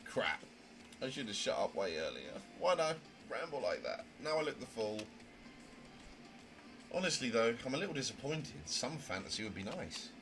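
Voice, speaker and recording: A man's voice: mid-range voice, very animated, moderate pace, a bit bright. A man voicing a naive, chatty, prim young newcomer who narrates a visual novel in a wordy, rambling way. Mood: embarrassed. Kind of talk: amateur visual novel voice acting, first-person narration. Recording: music under the voice. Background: music.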